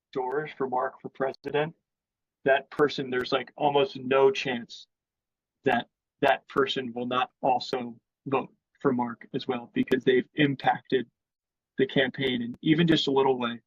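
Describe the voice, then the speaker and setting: A man's voice: mid-range voice, flat delivery, unhurried, slightly rough, dry recording, lo-fi sound. A man speaking as an interview guest in sales, explaining his thinking in a casual business conversation.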